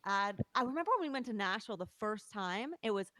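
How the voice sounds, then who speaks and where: A woman's voice: medium pitch, animated, fast, fairly bright tone. A young woman from Toronto, chatting casually and informally as a podcast guest. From Toronto, Canada. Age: young adult. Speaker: podcast guest, country singer. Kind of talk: casual chat.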